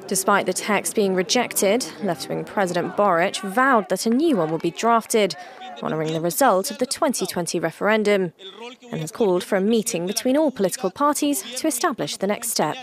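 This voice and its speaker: A woman's voice: mid-range voice, expressive, brisk. A woman reading a scripted news report voice-over as a correspondent.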